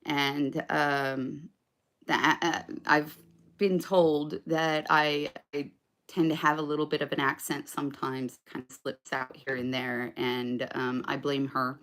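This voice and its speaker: A woman's voice: low-pitched, flat delivery, slow pace, a bit bright. A woman chatting casually with viewers on a live stream between songs, rambling and hesitant with lots of fillers. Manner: chatty, self-deprecating. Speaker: singer-songwriter live streamer.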